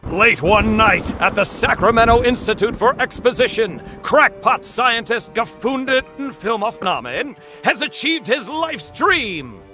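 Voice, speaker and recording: Dramatic announcer for cartoon and mock commercial, performed by a man, piercing, lively and theatrical. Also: extremely high pitch, a little slow, soft background music, heavily acted. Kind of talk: scripted narration, dramatic announcing.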